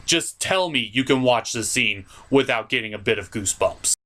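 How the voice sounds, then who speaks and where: A man's voice: mid-range voice, expressive, normal speed, noisy background. A man hosting a video review, giving enthusiastic, theatrical commentary with emphatic, stretched-out words.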